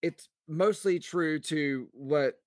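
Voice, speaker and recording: A man's voice: on the high side, slow pace, no room sound. A man co-hosting a podcast in casual banter, wisecracking with dry sarcasm. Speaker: podcast co-host.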